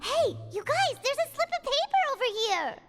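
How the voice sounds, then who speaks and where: A woman voicing a small, childlike fairy companion in a video game, squeaky, curious, excitable and chatty. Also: normal speed. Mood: eager. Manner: bubbly, expressive, refers to herself in third person. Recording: music behind the voice.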